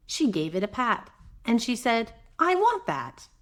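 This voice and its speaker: A soft-spoken woman reads a storybook to preschoolers at an unhurried pace. Her pitch rises and dips in a lively way as she reads. She is gentle and chatty, often slipping in little asides to the children. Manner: gentle, expressive, chatty with young listeners. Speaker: children's read-aloud host; mother. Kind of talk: picture-book read-aloud for preschoolers with conversational asides.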